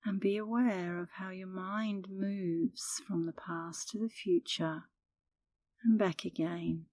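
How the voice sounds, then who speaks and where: A middle-aged woman leading a guided meditation in a calm, soothing, unhurried manner, as an instructor speaking close to the microphone. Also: mid-range voice, no room sound.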